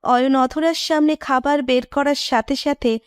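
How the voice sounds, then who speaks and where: A woman narrates a scripted romantic tale in a high voice. The sound is dry and close, with very little room around it. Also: steady tone, unhurried, dry recording. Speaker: story narrator.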